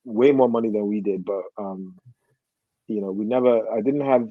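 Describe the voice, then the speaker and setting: A man, telling personal stories casually and haltingly as a guest, often rephrasing as he reflects. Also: fairly low pitch, no room sound, narrow, tinny audio. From Nigeria. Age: 40s. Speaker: podcast guest.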